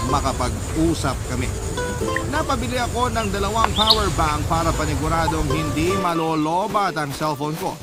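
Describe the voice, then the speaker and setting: A man reading out a listener's love-story letter as narrator. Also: on the high side. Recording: loud background music.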